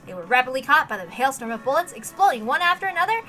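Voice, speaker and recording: A woman reading a story aloud as a narrator, with an animated, emphatic dramatic delivery. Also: sits high, fast talker, soft background music. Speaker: reader narrating a visual novel.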